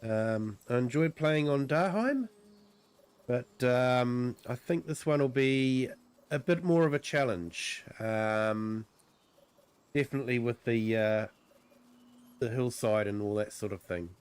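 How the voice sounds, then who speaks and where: Croaky New Zealand man, unhurried and easygoing, streaming his gameplay. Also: mid-range voice, expressive, a little mellow. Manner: easygoing, lots of ums and uhs. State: croaky, with a cold. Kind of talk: relaxed livestream gameplay commentary.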